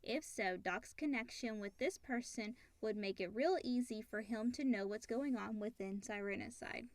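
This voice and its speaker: A woman's voice: fairly high pitch, moderate pace, a little mellow, no echo. A woman co-hosting a casual talk show, chatting and reading out listener questions.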